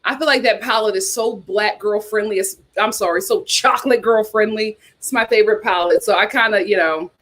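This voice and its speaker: A woman's voice: medium pitch, a little fast, hardly any pauses, a bit bright. A young woman hosting a casual livestream, chatting and reading viewer comments, repeating herself as she talks. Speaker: YouTube host.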